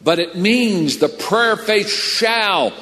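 An older man from California preaching and joking, pausing line by line for an interpreter. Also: high-pitched, vocal fry, bright, forceful tone. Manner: joking, teasing, pauses for translation. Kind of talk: sermon with a live interpreter. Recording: long hall reverb.

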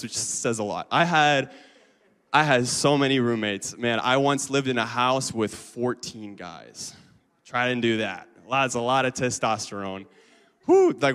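A man's voice: mid-range voice, fairly bright tone, clean tone. A man in his twenties giving a casual, chatty sermon full of fillers and asides. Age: 20s. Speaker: lay preacher. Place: church hall. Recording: reverberant hall.

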